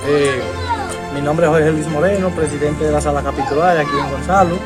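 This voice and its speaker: A council president gives a slow, halting statement full of fillers. His voice is high for a man. Music is clearly audible behind him. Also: steady tone. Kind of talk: public statement.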